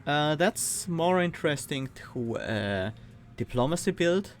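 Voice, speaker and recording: A man with a Swiss accent, streaming a card game and thinking aloud, reading cards and weighing choices with pauses and fillers. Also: fairly high pitch, lively intonation, faint music behind. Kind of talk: casual gameplay commentary.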